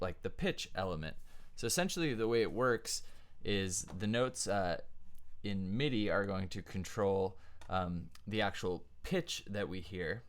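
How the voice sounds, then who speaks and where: A young man giving a relaxed, casual music production tutorial, talking with plenty of ums and uhs as he explains his steps. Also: deep voice, clear, resonant tone. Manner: relaxed, frequent ums and uhs, thinking aloud. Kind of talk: casual screen-share tutorial.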